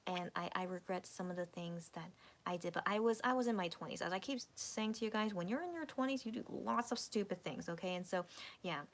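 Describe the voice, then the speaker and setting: A woman's voice: fairly low pitch, fairly quick. A woman in her thirties chatting casually with viewers on a livestream, rambling with many restarts and sometimes dropping to a whisper. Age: 30s. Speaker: livestream host.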